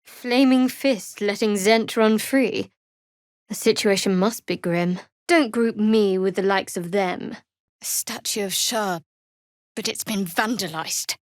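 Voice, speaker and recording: A woman playing a guarded, sardonic young female cleric of a dark goddess in a fantasy video game, with a cool, wry and measured delivery. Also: medium pitch, no room sound, close to an everyday voice. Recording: dry, close studio voice.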